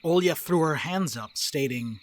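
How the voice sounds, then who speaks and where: A man's voice: a little high, expressive, normal speed. A man reading scripted narration for a dramatic reading. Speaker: narrator reading stage directions.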